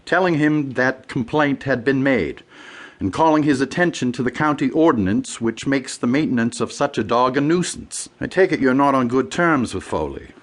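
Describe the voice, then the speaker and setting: Actor as a cautious, expressive deputy prosecutor. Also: male voice, medium pitch, moderate pace, lightly acted. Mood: placating. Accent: American. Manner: polite, probing, official. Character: measured, cautious male deputy district attorney. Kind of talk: radio drama acting.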